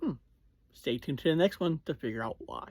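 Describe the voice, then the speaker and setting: A reviewer giving a casual spoken recap, speaking informally to listeners in short, halting phrases and often self-correcting. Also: mid-range voice, animated, a little mellow, husky, no echo. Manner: informal, addresses listeners directly. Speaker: podcast reviewer.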